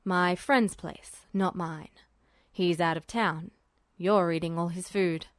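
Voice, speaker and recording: A woman plays a weary officer in an audio story, his voice dropped low. He is dry and smirking, muttering his orders with unhurried authority, recorded close and dry. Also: steady tone, dry recording. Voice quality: deep voice. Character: smirking, commanding military man, dry and weary.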